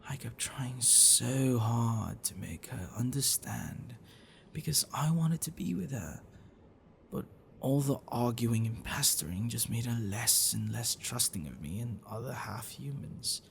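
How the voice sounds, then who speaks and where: A man playing a gentle, bookish half-dragon boyfriend in an intimate ASMR roleplay, affectionate and apologetic, speaking haltingly and softly. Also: medium pitch, lively intonation, creaky, slight background noise. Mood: regretful, tender. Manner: halting, soft chuckles and sighs, dropping to whispers.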